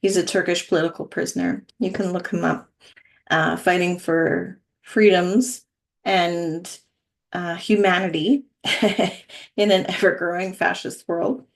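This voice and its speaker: A middle-aged woman, a wellness coach, speaking earnestly and softly as she introduces and reads a short story aloud. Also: lowish voice, fairly even intonation, measured pace, gravelly. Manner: earnest, soft-spoken, with small laughs. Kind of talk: casual intro then story reading.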